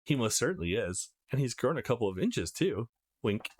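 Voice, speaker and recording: A man's voice: medium pitch, big pitch swings, normal speed, slightly rough. Man putting on a teasing, swooping young woman. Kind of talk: visual novel character reading.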